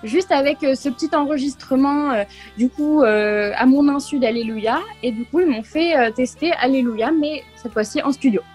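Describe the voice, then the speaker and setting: A woman's voice: high voice, moderate pace, darkish tone, soft background music. A young woman from Limoges, a singer answering radio interview questions, hesitant with many fillers and little laughs. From France, Limoges. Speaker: singer guest.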